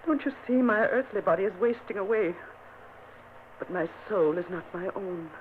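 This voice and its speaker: A woman's voice: mid-range voice, moderate pace, lightly acted. Casting: a woman voicing a dying lady of the manor, fragile and pleading, heard over a thin phone-like radio line with hiss. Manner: breathy, pleading, intense. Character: frail, feverish young noblewoman in dread. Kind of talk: vintage radio drama acting. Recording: tinny, hissy old radio recording.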